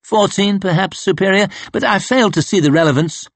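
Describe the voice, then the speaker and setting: A man's voice: fairly quick, creaky, no echo. A man doing a whining, cowardly inquisitor. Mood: defensive. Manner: high, pleading and defensive.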